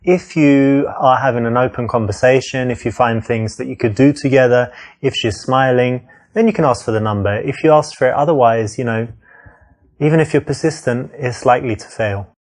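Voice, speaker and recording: A man from England with a softened London accent, playing out a dating scene and giving dating advice between the lines. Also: mid-range voice, moderate pace. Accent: London English, mostly softened. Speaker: dating coach in a video game. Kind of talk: acted dating scene with coaching asides.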